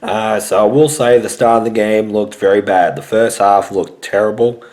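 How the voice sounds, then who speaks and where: A young man with a hoarse, raspy voice from a sore throat, hosting a casual sports vlog and rambling with many hesitant fillers. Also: lowish voice, normal speed, a little mellow. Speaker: sports show host.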